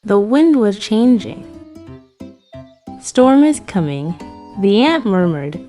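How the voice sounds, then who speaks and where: A woman's voice: medium pitch, very animated, slow, warm tone, faint music behind. A woman narrating a scripted fairy tale for children as a storyteller.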